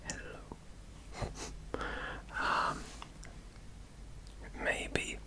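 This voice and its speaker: A man from England with a mixed English and Welsh accent, doing a whispered ASMR accent tag, soft and hesitant with many pauses. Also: poor audio. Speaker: guest on girlfriend's ASMR channel.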